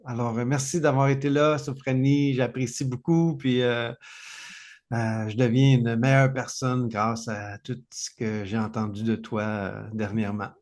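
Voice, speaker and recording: A man with a Quebec French accent hosting a casual interview, speaking haltingly and repeating words. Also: mid-range voice, darkish tone. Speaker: interview host.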